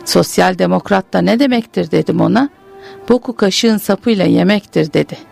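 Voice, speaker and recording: A woman reads the part of a bitter old widow, her voice thick with gravel and fry. She broods grimly over her house and children, with faint music playing underneath. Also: on the low side, moderate pace, darkish tone. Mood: bitter brooding. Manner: grim, brooding monologue. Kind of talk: literary reading.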